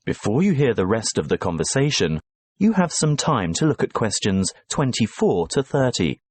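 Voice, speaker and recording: A man reading the instructions of a recorded English listening exam in a clear, measured way. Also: lowish voice, very animated, no echo. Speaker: exam narrator and actor. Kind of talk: scripted recorded listening test.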